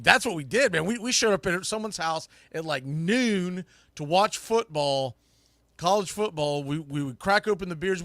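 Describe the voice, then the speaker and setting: A man's voice: fairly high pitch, fairly bright tone, no echo. A middle-aged man hosting a sports talk show, chatting casually and informally in a rambling, mildly salty way. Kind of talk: casual, rambling live podcast chat.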